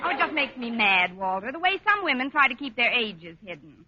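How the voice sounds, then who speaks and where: An actress playing a wry woman schoolteacher with deadpan sarcasm and crisp timing in a 1940s radio comedy. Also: on the high side, brisk, some chatter behind, heavily acted. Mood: dry, jealous. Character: wry, wisecracking woman high school teacher. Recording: muffled noisy old radio recording.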